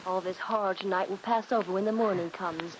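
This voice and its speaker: Devoted young woman, played by an actress in vintage radio drama: earnest, melodramatic, mid-pitched, on a noisy recording. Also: fairly even intonation, a little fast, close to an everyday voice. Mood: anxious soothing. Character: distressed, devoted young cousin, pleading and tender. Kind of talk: 1940s radio drama acting.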